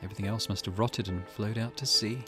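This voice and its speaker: A man's voice: fairly low pitch, fairly even intonation, quick pace. A man narrating a game video, reading visual novel dialogue aloud as music plays. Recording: loud music behind voice.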